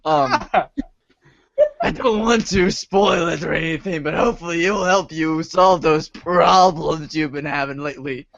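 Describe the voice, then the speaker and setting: A man acts a friendly, goofy teenage boy for an amateur audio drama. He is self-conscious and hesitant, with a creaky, slightly high voice. The close mic sounds dry and a bit dull. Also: measured pace, fairly bright tone, dry recording. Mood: awkward, friendly. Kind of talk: amateur reader's theater character reading.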